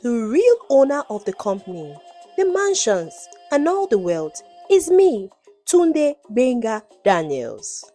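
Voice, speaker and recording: A woman's voice: a little high, very animated, takes it slowly, dark tone, soft background music, exaggerated voice. A woman storyteller voicing a wronged, secretly rich young man who speaks firmly and knowingly in a folktale. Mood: commanding, smug.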